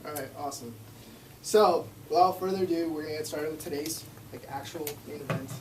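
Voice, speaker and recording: A young man with a slightly high voice emcees a student club meeting in an easygoing, upbeat manner. He sounds distant in an echoey classroom with faint noise. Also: brisk. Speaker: club president running the meeting. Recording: echoey room, distant mic.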